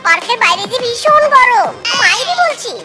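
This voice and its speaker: Very high-pitched boastful cartoon fox. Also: lively intonation, normal speed. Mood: wheedling. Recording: loud music behind the voice. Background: children's music.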